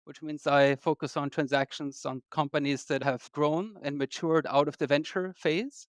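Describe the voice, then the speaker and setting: A man, a podcast guest explaining his work in a measured, polite way. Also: a little high, steady tone, no room sound, clean studio sound. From Austria. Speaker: guest investor. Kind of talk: podcast interview.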